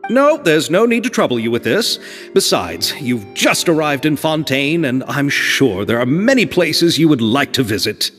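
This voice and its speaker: An actor playing a polite, earnest and anxious film director in a video game, speaking formally. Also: male voice, a little high, sing-song, moderate pace, a bit bright. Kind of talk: English video game voice acting. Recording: echoing reverb, faint music behind.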